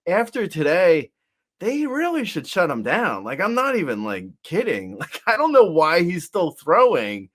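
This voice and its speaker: Animated, higher-pitched man on a podcast. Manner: halting, repeats words, wry joking. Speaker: sports podcast host. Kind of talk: casual baseball chat.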